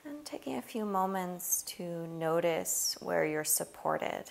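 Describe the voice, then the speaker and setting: A woman guides a body scan meditation in a fairly low voice. There is a clear vocal fry in her speech. She gives each gentle instruction without hurry and leaves long pauses between phrases. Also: lively intonation.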